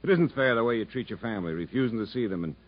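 A husky, hard-bitten prison captain. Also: male voice, on the low side, animated, racing. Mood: scolding. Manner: commanding, blunt. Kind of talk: 1950s radio drama acting. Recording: muffled vintage radio broadcast.

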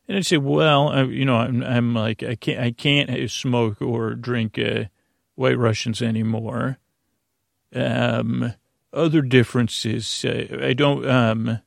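A middle-aged man hosting a bedtime podcast, rambling haltingly through stammered restarts and tangents with gently absurd, self-deprecating humour. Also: mid-range voice, creaky, no echo, clean studio sound. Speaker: podcast host.

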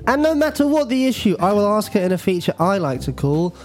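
A man's voice: sits high, very animated, fast talker, soft background music. Young British man, playful podcast host. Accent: British English. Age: young adult. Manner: playful, teasing. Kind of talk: casual, teasing chat.

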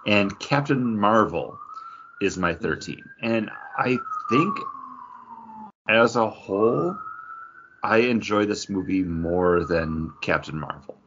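A man's voice: fairly low pitch, expressive, takes it slowly, dull audio, background noise. A man co-hosting a podcast, discussing films in a casual, conversational and somewhat hedging way. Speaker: podcast co-host.

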